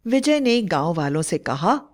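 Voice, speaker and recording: A woman's voice: fairly low pitch, sing-song, unhurried. A woman narrating a scripted horror fairy tale in a dramatic storytelling style.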